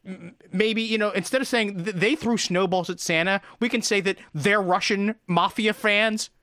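A man co-hosting a sports podcast, talking in an animated, rambling way with many restarts. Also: sits high, normal speed, no room sound, clean studio sound. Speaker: podcast co-host. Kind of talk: casual comedic sports chat.

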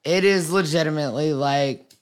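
A woman with a husky voice in casual podcast chat, blunt and swearing freely, with many "like" fillers. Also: deep voice, normal speed.

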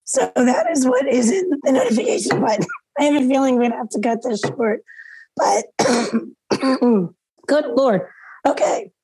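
A hoarse woman teaching a class over a video call, explaining each step aloud and often clearing her throat. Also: sits high, expressive, a little slow, background noise. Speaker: instructor.

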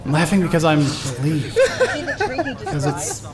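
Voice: medium pitch, fairly even intonation, normal speed, a loud crowd, lightly acted. A performer voicing June, an overwhelmed young girl who keeps laughing and crying as she comes out in a fan audio drama. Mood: relieved laughter. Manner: laughing and crying through her words.

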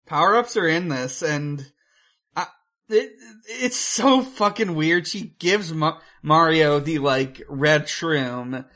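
A woman co-hosting a podcast, talking casually and haltingly about a movie, with some swearing. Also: low voice, fairly bright tone. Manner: halting, profane. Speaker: podcast co-host.

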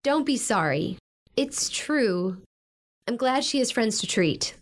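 An actress playing a blunt, sarcastic teenage older sister in a modern audio drama, with a teasing, sardonic manner. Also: female voice, on the high side, animated, takes it slowly. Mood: subdued, sincere.

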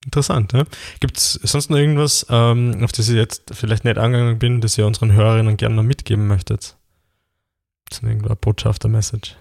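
An Austrian man with a gravelly, creaky voice leads a relaxed interview. He asks his questions in a friendly way, pausing now and then on fillers. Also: fairly low pitch. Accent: Austrian German. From Graz, Austria. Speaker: interviewer, podcast host.

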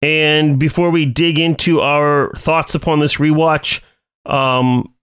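A man hosting a podcast in a casual chat, leading the talk with many pauses, fillers and restarts. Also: on the high side, steady tone, fairly bright tone, clean tone. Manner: hesitant, many fillers and restarts, leads the show. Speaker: podcast host.

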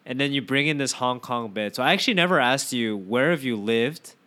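A man hosting a casual podcast chat, teasing his guest with animated questions. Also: mid-range voice, fairly quick. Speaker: podcast host.

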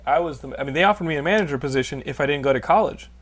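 A man in his thirties hosts a podcast in a somewhat high voice. He talks in excited bursts, laughing and swearing as he jokes with his co-hosts. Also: racing. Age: 30s. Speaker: podcast host. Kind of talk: casual chat.

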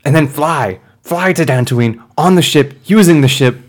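A man's voice: fairly high pitch, moderate pace, natural voice. A man playing a glib, scheming starship captain in an improvised tabletop game, breezily explaining things to his crew. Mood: breezy commanding. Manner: breezy, patiently explaining, evasive. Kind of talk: improvised roleplay in a tabletop podcast.

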